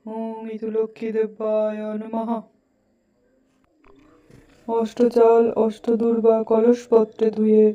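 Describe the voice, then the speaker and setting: Monotone woman chanting, distant and dull-sounding. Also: on the high side. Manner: measured, monotone recitation. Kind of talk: ritual verse chanting.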